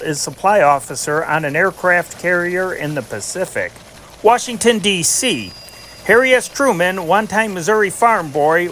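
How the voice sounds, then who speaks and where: A man's voice: fairly high pitch, fairly bright tone, a little hiss or hum, rough recording. A man reading scripted news dispatches in a formal, declarative newscast manner, punching the datelines. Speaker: narrator reading old newspaper dispatches.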